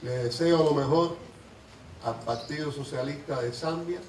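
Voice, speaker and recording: A man who is an ambassador and a native Spanish speaker, giving a courteous formal greeting and pausing for an interpreter. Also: fairly high pitch, slight background noise. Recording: echoey room from far off.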